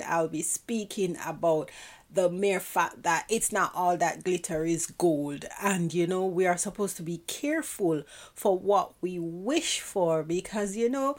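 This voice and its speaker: A woman with a nasal, congested voice from hay fever hosts a devotional podcast. Her pitch sits a little low. She talks in a chatty, repetitive way, restarts her sentences, and goes quiet and earnest when she prays. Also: expressive, unhurried. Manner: chatty, repeats herself, self-correcting. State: stuffy nose from hay fever. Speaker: podcast host. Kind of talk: devotional podcast talk with prayer.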